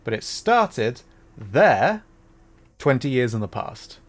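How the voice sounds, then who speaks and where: A man's voice: mid-range voice, very animated, takes it slowly. A man doing casual live gameplay commentary, thinking aloud and playfully repeating words as he goes. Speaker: VTuber game streamer.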